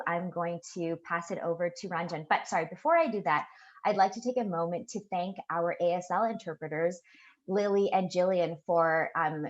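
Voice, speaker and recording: A woman hosting a webinar, introducing the speaker in a steady way with occasional fillers. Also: fairly low pitch, lo-fi sound. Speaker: webinar host.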